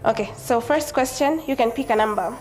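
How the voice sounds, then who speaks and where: A woman from Zambia hosting an interview, asking friendly questions with soft fillers. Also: a little high, fairly quick, soft background music, rough recording. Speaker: interview host.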